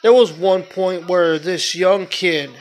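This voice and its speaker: A man with a noticeably high-pitched voice delivers an off-the-cuff amateur review. He is blunt and opinionated, complaining in an exasperated way with plenty of profanity and repetition. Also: slow. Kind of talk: casual opinionated rant review.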